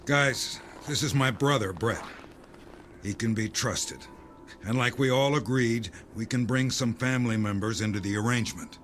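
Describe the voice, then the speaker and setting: An actor playing an earnest, secretive doomsday believer who speaks gravely and insistently in a dramatic audio play. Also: male voice, medium pitch, moderate pace, fairly bright tone, slight background noise, lightly acted. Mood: conciliatory.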